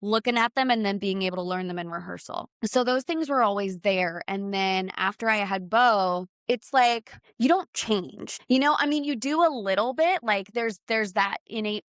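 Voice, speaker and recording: A woman's voice: mid-range voice, normal speed, hardly any pauses, no room sound, clean studio sound. A woman in her thirties telling stories in a rambling, casual chat, often restarting her sentences. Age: 30s. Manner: rambling, restarts often, animated when quoting others. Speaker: podcast co-host.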